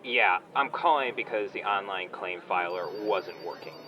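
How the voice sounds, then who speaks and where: Man playing a smug, whiny, sharp-voiced entitled customer. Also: sits low, animated, moderate pace, a little hiss or hum, poor audio. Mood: irritated, whiny. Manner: condescending, petulant, casual slangy speech. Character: smug, whiny, entitled male online shop owner. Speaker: caller, complaining customer. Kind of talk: scripted comedy audio drama phone call.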